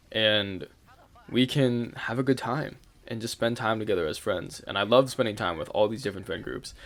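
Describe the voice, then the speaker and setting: Young man telling a candid rant. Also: on the low side. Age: young adult. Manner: candid, rambling, with fillers and swearing; laughs now and then while talking. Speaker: channel host. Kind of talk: casual storytelling rant.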